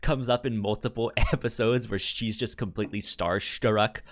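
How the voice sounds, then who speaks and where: A man with a stutter chatting casually as a podcast co-host, explaining things haltingly with repeated sounds and restarts. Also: on the low side, fairly even intonation, no room sound, studio quality. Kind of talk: casual chat recapping sitcom episodes.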